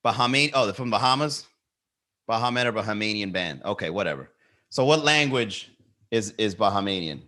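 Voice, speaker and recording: A man's voice: lowish voice, expressive, brisk, pushed and bright. A man hosting a comedy podcast, riffing on song lyrics with swearing and lots of rhetorical questions.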